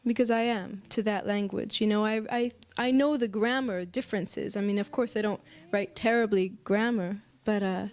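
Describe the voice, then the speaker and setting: An American woman speaking casually in an interview as a singer-songwriter, thoughtful and halting, often pausing mid-phrase. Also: mid-range voice, steady tone, a little mellow. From United States. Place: apartment.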